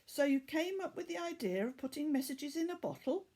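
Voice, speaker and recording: A woman reading the part of a sympathetic, curious schoolgirl in a storybook, with a gentle, questioning manner. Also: high-pitched, lively intonation, brisk, pitched above the performer's own voice.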